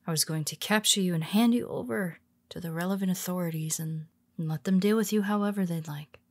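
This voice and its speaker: A woman voicing a cool, scheming female superhero who quietly confides in her nemesis in an audio roleplay, speaking softly and wryly, often in a whisper. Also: lowish voice, brisk. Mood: confiding. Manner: calm, wry and confiding. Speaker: voice actor.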